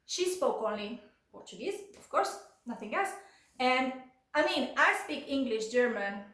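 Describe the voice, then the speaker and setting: A woman's voice: sits high, expressive, unhurried, fairly bright tone. Chatty Hungarian-accented young woman, echoey room. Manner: chatty, lots of filler "like" and "you know". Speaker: vlogger. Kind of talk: casual storytime vlog.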